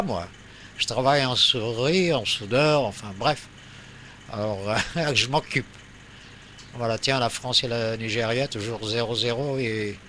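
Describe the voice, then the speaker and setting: Male radio hobbyist, bright forceful voice, loose conversational rambling that hunts for words, faint background noise. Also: mid-range voice. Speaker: amateur radio operator. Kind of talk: casual radio chat.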